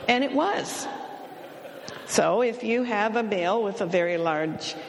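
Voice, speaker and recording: Slow, wry woman in a reverberant hall. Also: medium pitch, expressive, creaky. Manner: dry, wry and matter-of-fact, teasing the men. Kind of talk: advice talk to an audience.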